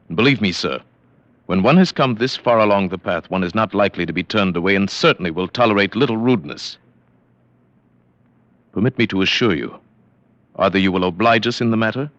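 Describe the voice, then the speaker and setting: A cool, aristocratic prince in disguise, voiced by a man, speaks in a measured drawl that carries firm command. His voice sits very low. The old radio drama recording sounds dull and dry. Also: fairly bright tone, no echo.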